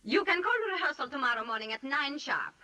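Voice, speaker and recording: Casting: domineering female choreographer in vintage radio play; bright, cutting voice, taunting, slightly distant from the mic. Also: sits high, lively intonation, fast talker. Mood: cool taunting. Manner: imperious, taunting. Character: domineering, vengeful woman ballet choreographer. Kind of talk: 1940s radio acting.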